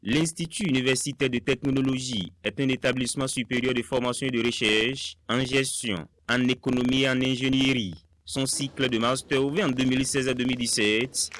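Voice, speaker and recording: A man's voice: mid-range voice, steady tone, a little fast, few pauses, a bit bright. A man reading a scripted report as a news reporter.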